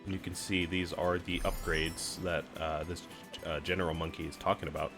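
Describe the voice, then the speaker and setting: A man giving relaxed let's-play commentary, walking through a game tutorial, a bit raspy and clearing his throat now and then. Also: sits low, moderate pace, music in the background. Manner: relaxed, explanatory, reads on-screen prompts aloud. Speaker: gaming streamer.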